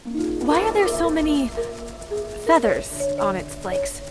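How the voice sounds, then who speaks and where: A woman's voice: sits high, very animated, slow, heavily acted. A woman voicing a playful, encouraging young sidekick in a video game cutscene. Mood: admiring, playful. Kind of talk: animated game cutscene dialogue. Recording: loud music behind the voice.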